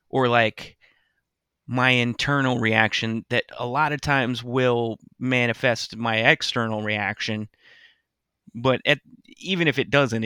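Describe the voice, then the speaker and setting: A man's voice: mid-range voice, bright, forceful tone, clear, resonant tone, dry recording, studio quality. A middle-aged man, a podcast co-host, chatting casually in a reflective, earnest way. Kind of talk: casual chat.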